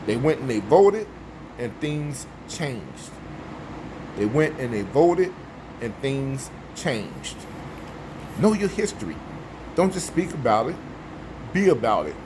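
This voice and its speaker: A man with a baritone voice giving an emphatic, preachy opinion monologue, repeating his points for effect. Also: noisy background. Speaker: vlogger.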